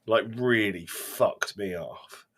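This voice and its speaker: A British man with a slightly low voice hosts a casual podcast chat. He picks things apart with sarcasm and swearing, and acts out mocking quotes as he goes. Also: slow, a bit bright. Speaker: podcast host.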